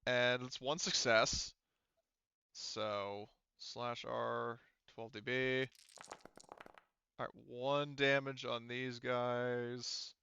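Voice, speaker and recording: A man's voice: mid-range voice, flat delivery, drawn-out words, bright, forceful tone, smooth, dry recording. A man running a tabletop roleplaying game as game master, talking through dice rules in a casual, profane way over slightly dull audio.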